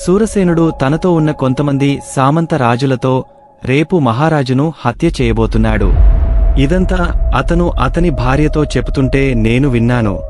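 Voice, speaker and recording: A man's voice: mid-range voice, measured pace, over music, close to an everyday voice. A man playing a loyal, proud outlaw thief and warrior in a dramatised folk-tale audio story. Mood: grim, resolute.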